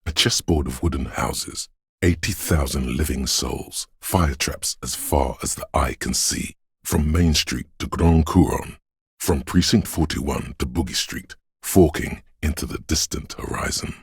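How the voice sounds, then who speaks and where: Game narrator, mystical inner voice of a city: male, slow, gravelly, husky, solemn and brooding, close dry sound. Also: extremely low pitch, no room sound, full character voice. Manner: solemn, measured, ominous poetic delivery. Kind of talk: scripted literary game narration.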